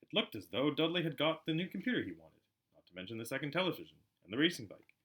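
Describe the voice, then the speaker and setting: A man reading aloud as an amateur narrator, expressive and storybook-like, with a chatty side. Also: fairly high pitch, fast talker, rough recording. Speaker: amateur audiobook reader.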